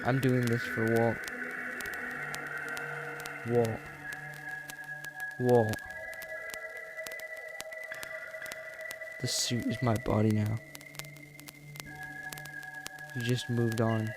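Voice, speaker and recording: A man's voice: mid-range voice, low-quality recording. A man voicing a vengeful, obsessed man fused to a mascot suit, in short insistent threats from a horror tape. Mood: bitter, insistent. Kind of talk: horror tape monologue read aloud.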